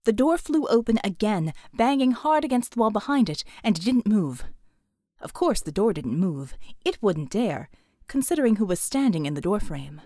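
A woman's voice: mid-range voice, big pitch swings, normal speed, mellow, dry recording, clean studio sound. A woman reading an audiobook, narrating fiction expressively from a script.

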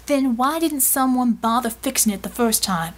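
A woman's voice: mid-range voice, moderate pace, natural voice. Tough, sardonic woman bartender, voiced by a narrator. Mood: hurt, angry. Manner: sardonic, defiant. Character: tough, wounded, proud young woman bartender, ex-soldier.

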